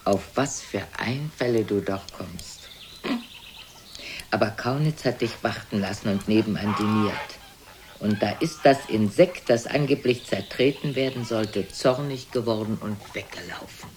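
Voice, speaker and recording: A woman's voice: a bass voice, darkish tone. An aged, imperious mother in a German radio play. She speaks bluntly and theatrically, probing and belittling her son with cool haughtiness. The old recording carries faint noise.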